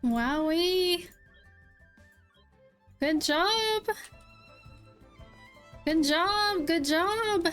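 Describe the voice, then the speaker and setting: Young woman, high voice, chatty, opinionated, casual game streamer commentary, faint music behind. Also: expressive, extremely slow, pauses often, fairly bright tone. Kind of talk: casual gameplay reaction and review.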